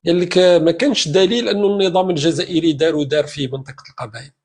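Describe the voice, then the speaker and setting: Husky, fast-talking Algerian man arguing. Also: a little high, darkish tone. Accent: Algerian Arabic. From eastern Algeria. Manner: combative, scolding. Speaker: live stream host. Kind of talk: heated live-stream debate.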